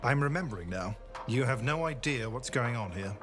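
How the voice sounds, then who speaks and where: A man plays a double agent weighed down by remorse in a video game drama. He speaks gravely and carefully in a mid-pitched voice, with clear music underneath. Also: expressive, normal speed, close to an everyday voice. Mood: troubled. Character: brainwashed spy, remorseful husband, grave and haunted. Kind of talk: video game cutscene acting.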